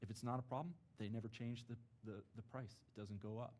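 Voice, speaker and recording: A man, a lifelong local, speaking at a city planning meeting in an earnest, halting way full of restarts. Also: medium pitch, steady tone, a little mellow. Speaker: board member. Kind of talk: public meeting discussion. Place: meeting chamber.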